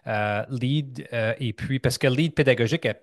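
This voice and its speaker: Homme animateur ontarien, voix sèche. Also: male voice, mid-range voice, moderate pace, no room sound, studio quality. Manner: chatty, upbeat, many fillers and restarts. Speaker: podcast host. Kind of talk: casual interview chat.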